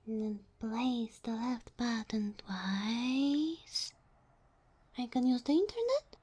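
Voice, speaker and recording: A woman voicing a sweet, curious young kitsune fox girl in a soft ASMR roleplay, breathy and often near a whisper, speaking closely to the listener with naive, hesitant wonder. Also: high-pitched, a little hiss or hum, heavily acted. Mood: curious, tentative.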